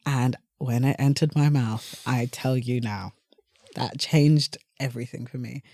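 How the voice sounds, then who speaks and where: Low-voiced young British woman, chatty. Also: measured pace, warm tone, no room sound. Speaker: podcast guest, entrepreneur. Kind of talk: casual chat.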